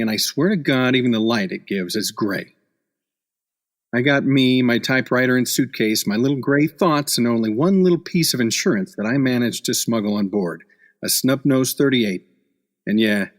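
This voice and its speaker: A hard-boiled newspaper reporter, acted by a man in a radio play, telling his story with wry, deadpan cynicism. The voice sits at an ordinary male pitch. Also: close to an everyday voice. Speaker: actor.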